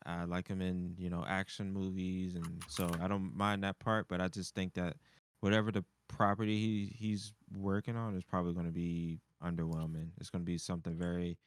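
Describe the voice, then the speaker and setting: A man's voice: low-pitched, little pitch movement, fairly quick, clear, resonant tone. A man chatting casually as a panelist on a podcast. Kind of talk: casual chat.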